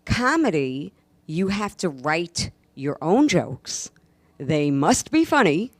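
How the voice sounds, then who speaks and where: A woman's voice: sits low, sing-song, slow, no echo. A woman chatting casually as an interview guest, speaking in an emphatic, enthusiastic way. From New York, USA. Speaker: guest, actress and stand-up comedian.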